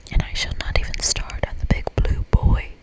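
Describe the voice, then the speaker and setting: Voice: moderate pace, close to an everyday voice. A mean, ancient witch of the woods acted out by an ASMR reader, entirely whispered. The delivery is theatrical and scolding, full of pompous outbursts, like a storybook villain in a game. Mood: indignant, scornful. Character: mean, very old forest witch who eats children.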